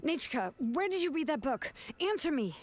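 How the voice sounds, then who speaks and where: A young female game heroine with a fairly high voice. She is sharp and probing, questioning firmly and deliberately, with faint music underneath. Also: sing-song. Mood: demanding. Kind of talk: video game voice acting.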